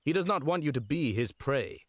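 A man voicing a loyal, dutiful knight retainer in a fantasy game, formal and courteous with a deadpan streak. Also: a little high, normal speed, no echo, clean studio sound. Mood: earnest, persuasive. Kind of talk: fantasy game character acting.

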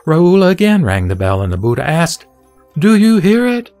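A man giving a formal, measured, solemn narration of scripture for an audio drama. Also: on the high side, wildly swooping pitch, a little mellow, no room sound. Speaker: narrator and reciter. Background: music.

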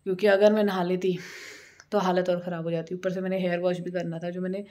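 A woman's voice: lowish voice, level tone, quick pace, darkish tone. Tired, unwell woman vlogging from home. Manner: tired, chatty, talks to viewers while doing chores. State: unwell, sore throat. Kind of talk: casual vlog chat to camera. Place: small home kitchen and rooms, indoors. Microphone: phone held at arm's length.

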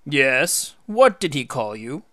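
A man's voice: medium pitch, big pitch swings, bright, forceful tone, dry recording, clean studio sound, full character voice. Man voicing a dignified, reproving father god. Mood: curious, amused. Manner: questioning, measured admonishing.

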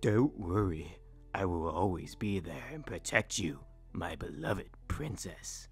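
A woman's voice: very deep voice, lively intonation, a little slow, darkish tone, full character voice. A woman voicing a gallant, solemn male cartoon hedgehog hero, chivalrous in manner, with music behind. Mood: tender, solemn. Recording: background music under the voice.

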